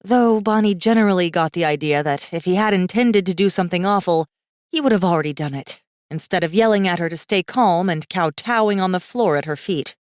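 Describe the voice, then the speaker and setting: A woman narrating a fiction audiobook in an expressive, scripted storytelling style. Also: fairly low pitch, no room sound, clean studio sound.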